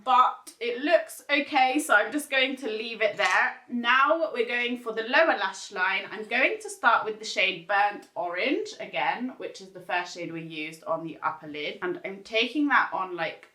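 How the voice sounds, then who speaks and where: A woman's voice: medium pitch, bright, forceful tone, reverberant. A woman vlogger talking casually through a makeup tutorial, chatty and rambling with lots of filler words. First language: English. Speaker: beauty vlogger.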